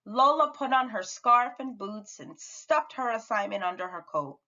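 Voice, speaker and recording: A woman reading a children's story aloud with dramatic, deliberate expression. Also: a little high, animated, sharp tone, dull audio. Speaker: storybook reader at a school read-aloud.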